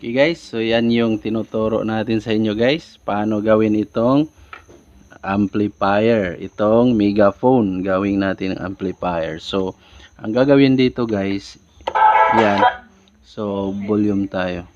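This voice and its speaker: A man's voice: lowish voice, fairly even intonation, measured pace, background noise. A man giving an informal hands-on tutorial, repeating himself and often addressing his viewers. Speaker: DIY electronics tutorial host. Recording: dull lo-fi recording with loud music. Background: music.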